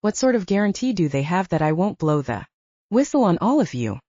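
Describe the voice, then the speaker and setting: A woman's voice: fairly low pitch, big pitch swings, brisk, mellow, no room sound, highs cut off. A woman reading the lines of a nervous, self-mocking young human woman in an audiobook. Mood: anxious.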